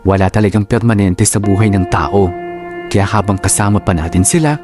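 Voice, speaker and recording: A man narrates as a heartbroken student looking back on lost love in a radio drama. The voice is deep and reflective, telling the story in the first person. Music is clearly heard underneath. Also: steady tone, fairly quick, lightly acted. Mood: reflective, accepting. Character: jilted young male student recounting his heartbreak.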